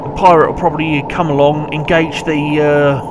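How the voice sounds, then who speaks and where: A man's voice: a little high, little pitch movement, normal speed, background noise. A man giving casual gameplay commentary, informal and thinking aloud as he goes. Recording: voice over loud game music. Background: loud game music.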